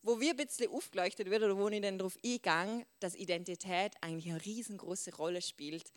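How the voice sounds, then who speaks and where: A woman's voice: mid-range voice, brisk. A woman in her twenties with a Swiss German accent, speaking informally to a group in a chatty way and dropping in English words. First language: Swiss German dialect. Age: 20s.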